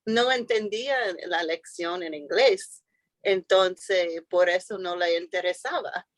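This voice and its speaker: A woman's voice: lowish voice, takes it slowly, no room sound. A woman whose first language is English, speaking with an American accent as an interview guest, hesitant with many fillers and restarts. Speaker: school founder and director. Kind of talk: interview guest telling a story.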